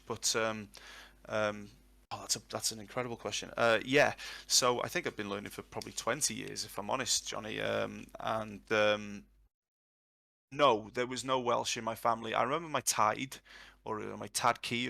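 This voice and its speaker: A man's voice: fairly low pitch, creaky, sharp tone. A man in his thirties, a language tutor answering questions in a live session, long-winded and reflective. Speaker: Welsh tutor and guest. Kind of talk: live Q&A on a voice chat.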